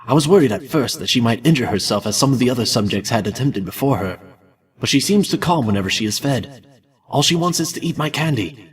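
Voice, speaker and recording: A man playing an obsessive male candy maker recording his experiments in excited, theatrical journal narration. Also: mid-range voice, far from the mic, close to an everyday voice. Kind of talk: fanfiction audiobook reading.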